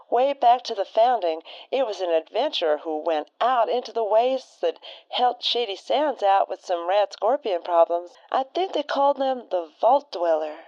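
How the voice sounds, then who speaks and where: A woman's voice: mid-range voice, a little mellow, no echo, highs cut off, close to an everyday voice. A woman playing a folksy, friendly radio co-host in an audio drama, with homespun phrasing.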